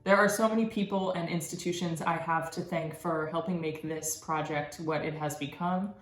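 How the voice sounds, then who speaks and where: A woman's voice: low voice, fairly even intonation, moderate pace, music in the background. A young woman host giving an earnest, effusive thank-you speech on a podcast. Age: young adult. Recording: roomy echo, faint background noise.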